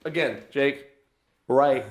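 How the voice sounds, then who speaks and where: A man's voice: medium pitch, slow, lo-fi sound. A man co-hosting a casual comedy podcast, joking around and slipping into silly mock accents. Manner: jokey, puts on mock accents, loud outbursts. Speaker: podcast co-host.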